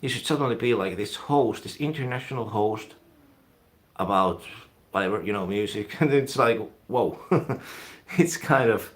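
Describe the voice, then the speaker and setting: A man from Finland with a Finnish accent, hosting his own live stream and rambling casually, often trailing off quietly. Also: fairly low pitch, animated, moderate pace. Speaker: YouTube channel host.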